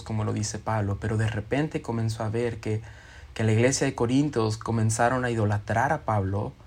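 A man delivers a sermon. He reads scripture aloud and acts out quoted speakers with expressive shifts in his voice. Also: mid-range voice. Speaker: preacher.

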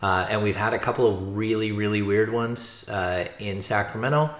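A man with a fairly low voice, recorded with clear room echo. He hosts a sports podcast in a casual, conversational way, working through long, halting questions. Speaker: podcast host, sports interviewer. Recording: echoey, roomy sound.

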